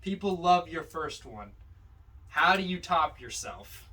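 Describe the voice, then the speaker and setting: Male podcast co-host, slightly high bright voice, talking movies casually from off the mic. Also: sing-song, takes it slowly. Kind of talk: casual film discussion. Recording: distant mic.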